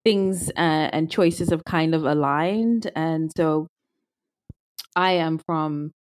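A woman's voice: deep voice, slow, darkish tone. A young woman from Zimbabwe, a podcast guest speaking thoughtfully and casually, with frequent restarts. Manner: thoughtful, with many restarts and fillers. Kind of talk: casual conversational interview.

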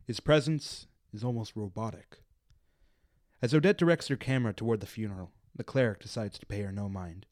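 A man's voice: fairly low pitch, fairly quick, a little mellow, no room sound, studio quality. A man reading scripted narration as the narrator of a radio play.

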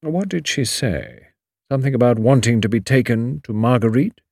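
A man voicing a shrewd, grave older English lawyer in an audiobook, measured, precise and formal. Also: mid-range voice, darkish tone, dry recording, studio quality, pitched above the performer's own voice, close to an everyday voice. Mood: dry, probing. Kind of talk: audiobook character dialogue.

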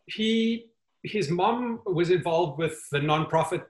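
Man, slightly high voice, earnest storyteller, roomy call audio. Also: animated. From South Africa. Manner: earnest, halting with many fillers. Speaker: guest. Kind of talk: storytelling in an online interview. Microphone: video call.

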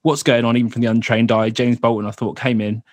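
A man's voice: medium pitch, flat delivery, fast, no room sound. Chatty guy talking football on a podcast, cuts himself off a lot, dry close sound. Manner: chatty, self-interrupting. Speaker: podcast host. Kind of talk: casual football chat.

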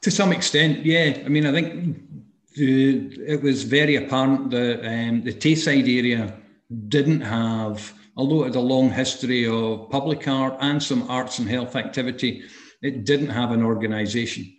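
A man's voice: medium pitch, normal speed, echoey room. A Scottish man in his sixties, giving an oral history interview over a video call, speaking thoughtfully with many ums and restarts. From Scotland. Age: 60s. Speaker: interviewee, arts and health practitioner.

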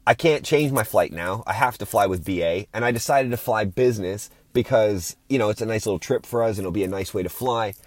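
A man's voice: lowish voice, a little fast, few pauses, no room sound. A man hosting a podcast, giving an opinionated, informal monologue with casual swearing. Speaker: podcast host.

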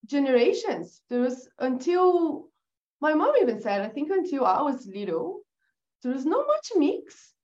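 A woman's voice: high voice, sing-song, unhurried, darkish tone. Chatty Brazilian woman, muffled sound. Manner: chatty, laughs often, restarts. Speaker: guest, agency founder. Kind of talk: casual podcast interview.

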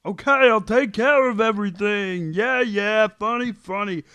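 A man's voice: high voice, measured pace, pushed and bright, clear, resonant tone, no echo. A man playing a whiny, put-upon sitcom husband and team captain in an amateur table read. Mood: irritated.

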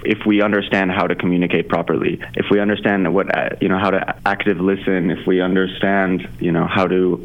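Deep-voiced young man, earnest and reflective. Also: level tone, brisk, few pauses. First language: Farsi. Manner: reflective, earnest, many fillers and restarts. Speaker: author and entrepreneur guest. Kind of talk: conversational interview answers. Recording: slightly dull lo-fi audio.

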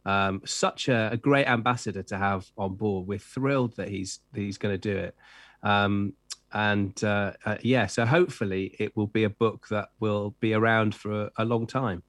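A man's voice: lowish voice, fairly even intonation, moderate pace, a bit bright, no room sound. Enthusiastic chatty middle-aged man, dry sound. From Wales. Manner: enthusiastic, chatty with many fillers and restarts. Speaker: children's author and editor. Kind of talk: interview chat.

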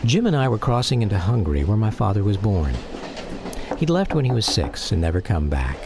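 A man narrating a personal radio drama in a reflective, measured storytelling style. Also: fairly low pitch, sing-song, noisy background. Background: music.

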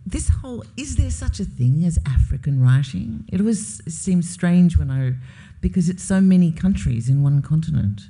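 Mellow-voiced interviewer at a live talk. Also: medium pitch, lively intonation, moderate pace, hardly any pauses. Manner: curious, chatty questions with fillers. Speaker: interviewer hosting an onstage author talk. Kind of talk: onstage interview.

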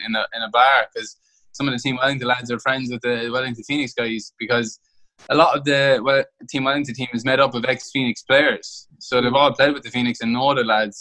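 A man's voice: mid-range voice, sharp tone, rough recording. A young Irish man, a footballer playing abroad, talking casually in an interview and often restarting his words. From Ireland. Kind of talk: interview over a call.

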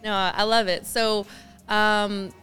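A woman hosting a podcast in casual chat, loud and upbeat, laughing often. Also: medium pitch, little pitch movement, takes it slowly, faint music behind. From Los Angeles. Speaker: podcast host.

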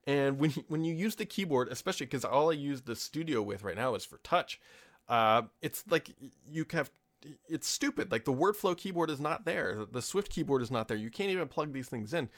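A man in his thirties talks through a tech podcast from his home office. His voice sits at a middle pitch, and he chats casually with firm opinions and plenty of ums and quick asides. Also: lively intonation. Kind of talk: casual solo podcast chat.

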